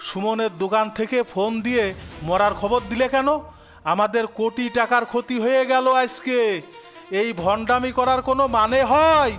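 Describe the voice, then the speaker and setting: Greedy cartoon businessman played by a man, callous, impatient, high male voice, melodramatic, music underneath. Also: steady tone, moderate pace, fairly bright tone. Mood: demanding, angry. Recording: music behind the voice.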